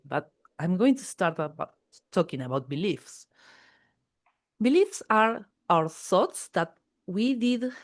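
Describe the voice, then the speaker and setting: Slow, low-voiced woman. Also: dry recording. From Argentina. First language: Spanish. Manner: nervous, halting, laughs often. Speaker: coach, webinar host.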